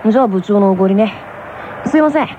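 A man's voice: high-pitched, animated, quick pace, mellow, a little hiss or hum. An actor playing a cheeky, nonchalant young teenage tennis boy in a radio drama, talking in casual junior slang. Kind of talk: scripted anime radio drama acting. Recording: dull lo-fi radio recording with music.

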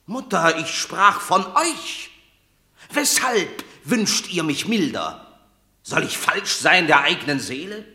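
An actor playing a proud, stubborn warrior son in a radio drama. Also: male voice, a little high, sing-song, slow, bright, forceful tone, reverberant, heavily acted. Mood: scornful.

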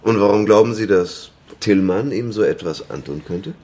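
A gruff middle-aged male detective, played by an actor in a German radio crime play. His voice is very deep and husky. He questions tersely and drily, with weary insistence. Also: moderate pace, dark tone, natural voice. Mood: insistent.

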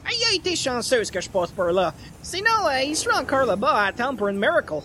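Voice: sits high, sing-song, fast, bright, forceful tone, slight background noise, heavily acted. Personne jouant un réparateur franglais québécois, très expressif. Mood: friendly, casual. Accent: Quebec French. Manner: colloquial, franglais, slangy. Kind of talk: old-time radio comedy acting.

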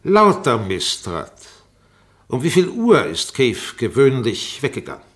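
An actor playing a sharp, sarcastic defense barrister in a radio courtroom drama, pressing, insinuating and commanding. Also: male voice, mid-range voice, wildly swooping pitch, unhurried. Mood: accusing, forceful. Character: sharp, sarcastic male defense barrister cross-examining a witness.

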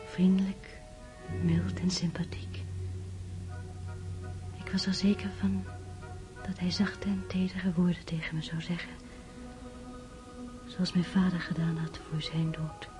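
An actress playing a gentle, grieving sister in a literary radio drama, speaking in a measured, solemn, restrained way. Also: female voice, fairly low pitch, flat delivery, music in the background, close to an everyday voice. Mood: sorrowful, reflective. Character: Maria, grieving gentle sister of a dying man.

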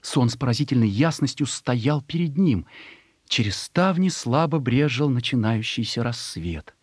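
A man's voice: medium pitch, lively intonation, normal speed, breathy edge, no room sound, studio quality. A man reading a literary story as a narrator, expressively and with emotion, in a scripted dramatic style.

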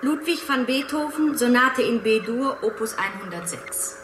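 An actress playing a polite, reflective, slightly hesitant concert pianist in a 1960s radio play. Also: female voice, fairly high pitch, animated, measured pace, background noise. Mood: formal announcing.

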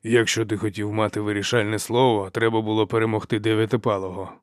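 A man voicing a steady, stubborn warrior chief in an audiobook, speaking in a measured, firm manner. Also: on the low side, no echo, clean studio sound, natural voice. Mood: stern challenge.

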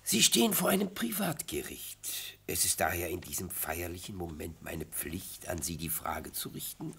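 An actor playing a tipsy, grotesque old retired judge in a radio play, speaking with solemn, theatrical relish. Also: male voice, low voice, a bit bright, heavily acted. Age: older. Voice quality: husky, gravelly. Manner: theatrical, slow, solemn, swooping into whispers. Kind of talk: German radio play acting.

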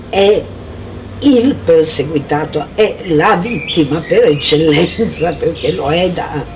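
A man recalls things slowly and haltingly, with feeling. His voice sits fairly high, recorded amid clear outdoor noise and birdsong. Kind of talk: personal spoken recollection. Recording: noisy ambience with birdsong.

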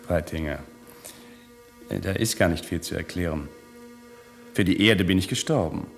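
An actor playing a self-assured, coolly cynical space colony insider with a deliberate delivery in a 1970s radio play. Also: male voice, deep voice, vocal fry, soft background music, heavily acted. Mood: casually cynical.

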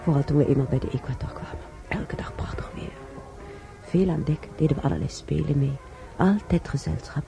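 A woman's voice: deep voice, fairly quick, gravelly, in a whisper, over music. An actress playing a chatty, wistful old woman who muses aloud and rambles in a radio drama. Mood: nostalgic. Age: older. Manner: rambling, musing aloud, repeating herself. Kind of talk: radio drama monologue and dialogue. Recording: dark, slightly noisy radio drama recording.

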